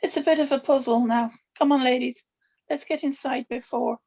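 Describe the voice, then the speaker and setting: A woman's voice: sits high, fairly even intonation, moderate pace, dark tone. A woman playing a wary, commanding witch grandmother at a dramatic table read, composed, formal and pointed. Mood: brisk. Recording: slightly dull, lo-fi recording.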